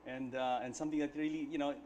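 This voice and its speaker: A male talk show host who speaks quickly and keeps the conversation moving. He is enthusiastic and chatty with his guests. A faint hiss runs under the recording. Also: a little high, level tone, darkish tone, lo-fi sound. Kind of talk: studio talk show interview.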